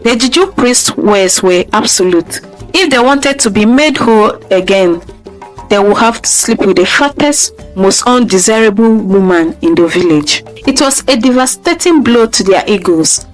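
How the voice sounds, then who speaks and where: A woman's voice: medium pitch, lively intonation, a little slow, low-quality recording. A woman storyteller reading a scripted folktale narration. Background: music.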